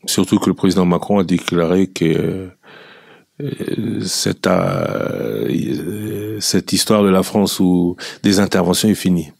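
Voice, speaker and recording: A man interviewing a guest on the radio, asking probing, measured questions with some fillers. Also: low voice, big pitch swings, gravelly, a little mellow, no room sound, studio quality.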